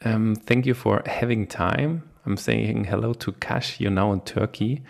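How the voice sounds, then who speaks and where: Podcast interviewer, man, English. Lower register, gravelly fry, conversational questioning. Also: measured pace. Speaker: podcast host. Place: online call.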